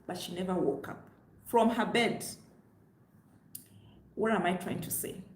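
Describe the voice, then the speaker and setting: A woman's voice: mid-range voice, a little slow, reverberant. A woman with a Kenyan accent giving direct, emphatic advice to her viewers, talking from a seat in a room of her home. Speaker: travel vlogger. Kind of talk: direct-to-camera advice vlog. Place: room on the upper floor of her home, seated.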